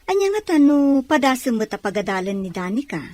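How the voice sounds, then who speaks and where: A woman's voice: a little high, big pitch swings, a little fast, darkish tone, dry recording. A woman playing a frank, supportive younger sister in a radio drama, giving her lines in an advising manner.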